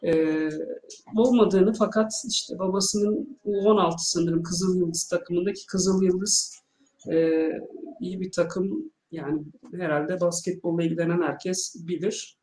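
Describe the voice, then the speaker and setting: A man in his thirties with a Turkish accent, interviewing over a video call and translating, halting with many fillers. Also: high voice, steady tone, darkish tone, slight background noise. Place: small home room with shelves. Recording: echoey room.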